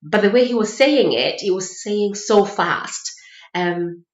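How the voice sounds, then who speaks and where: A woman's voice: mid-range voice, lively intonation, slow pace. A woman with a Kenyan accent telling personal stories in a casual, chatty vlog style. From Mombasa, Kenya. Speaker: vlogger sharing personal experiences. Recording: roomy echo, dull lo-fi sound.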